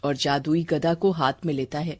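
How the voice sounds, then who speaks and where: Casting: story narrator, mid-pitched, scripted delivery, clear noise behind. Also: steady tone, normal speed.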